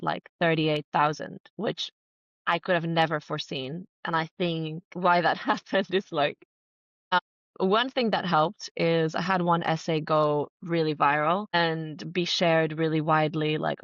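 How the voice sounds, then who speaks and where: A woman from Greece with a lowish voice, chatting as a podcast guest. She is earnest and enthusiastic, leaning on emphatic words in a casual, conversational flow. The sound is close and dry but slightly dull. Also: a bit bright, dry recording. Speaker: podcast guest, writer and entrepreneur. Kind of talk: casual conversational interview answers.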